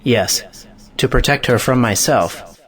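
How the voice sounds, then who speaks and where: An actor playing a resolute, righteous lover who defies convention, declamatory, in an audio play. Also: male voice, medium pitch, slow, lightly acted. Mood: indignant. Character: impassioned, righteous male lover defying convention. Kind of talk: audio play acting of an old stage drama.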